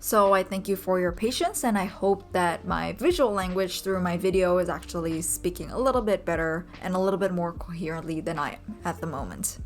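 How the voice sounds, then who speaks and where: A young woman, a native Indonesian speaker, narrating her sketching vlog in a soft, rambling way with many ums. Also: on the low side, brisk, few pauses, faint music behind. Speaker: vlogger and urban sketcher. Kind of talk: casual voice-over narration.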